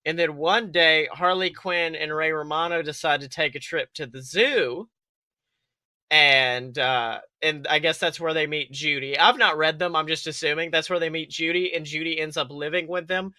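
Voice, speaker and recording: A man's voice: a little high, fairly even intonation, normal speed, bright, forceful tone, no echo. A man co-hosting a podcast with casual comedic banter, rambling and exclamatory. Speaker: podcast co-host.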